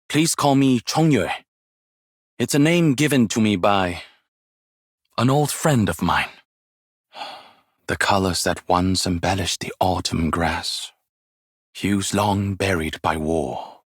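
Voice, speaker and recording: An actor playing a wise, weary, ageless martial arts master in a game, with measured, formal, poetic delivery and a husky voice. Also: male voice, deep voice, dry recording, clean studio sound. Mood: courteous, wistful, then somber, reflective. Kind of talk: scripted game character voice lines, solemn and poetic.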